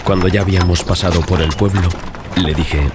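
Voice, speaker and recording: A man's voice: low voice, steady tone, moderate pace, very noisy. A man narrating a dramatized gothic horror audiobook as a scripted reading. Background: loud music and sound effects.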